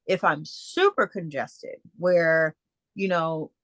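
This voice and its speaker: Woman, guest speaker, low pitch, dry sound, halting, fillers and restarts, video call. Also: fairly bright tone, no echo. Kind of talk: casual Q&A answers.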